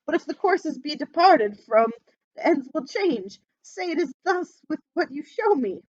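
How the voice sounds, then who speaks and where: A woman's voice: sits high, big pitch swings, unhurried, a little mellow, dry recording, voice pushed up. A woman voicing a frightened old male miser who pleads and cries out shrilly, in dull lo-fi audio. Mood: pleading.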